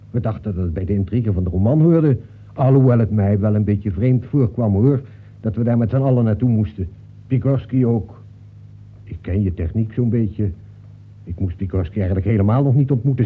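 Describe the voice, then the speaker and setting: Through a very thin, phone-like radio recording comes a slightly husky, middle-pitched detective character played by a man. He is talkative and breezy. He nags like an old pal in a radio play. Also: lightly acted. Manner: breezy, familiar, nagging. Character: talkative fictional detective come to life, chummy, reproachful. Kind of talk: Dutch radio play acting.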